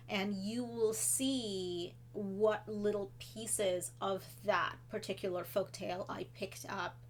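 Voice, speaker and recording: A woman's voice: mid-range voice, slight background noise. A woman from Trinidad and Tobago, a children's author, answering kids' questions in a casual chat from her home office, with fillers and friendly asides. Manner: chatty, with fillers and warm asides to kids.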